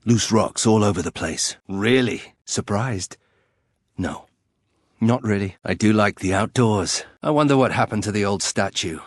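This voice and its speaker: A man's voice: fairly low pitch, a little slow, dry recording. An actor playing a bitter, proud young nobleman turned warrior in a fantasy game, with a dry, sardonic, clipped delivery. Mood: dry, wry. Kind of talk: fantasy video game voice acting.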